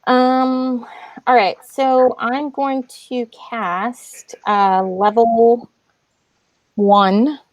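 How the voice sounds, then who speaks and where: A woman's voice: a little high, level tone, extremely slow, no room sound, dull audio. A woman playing an online tabletop game, talking casually and tentatively as she checks the rules. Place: online voice call.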